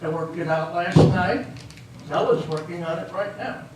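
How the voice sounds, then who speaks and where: A man's voice: a little high, steady tone, moderate pace, close to an everyday voice. An amateur actor, a man, playing a defensive, self-important small-town politician in a stage reading, flustered and blustering. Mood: flustered, evasive. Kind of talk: amateur readers theater comedy acting. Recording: very echoey hall from far off, faint hiss.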